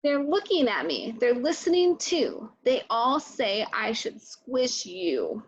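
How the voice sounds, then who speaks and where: Husky, unhurried woman at storytime. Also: fairly high pitch, sing-song, dull audio. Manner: deliberate, prompting young listeners with questions. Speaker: children's librarian storytime host. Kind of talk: children's read-aloud and chat.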